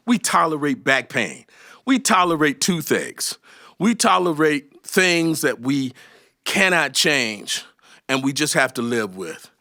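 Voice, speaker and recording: A man performing a musical story live, animated and emphatic, with dramatic pauses. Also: on the high side. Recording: guitar music behind the voice. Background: acoustic guitar playing.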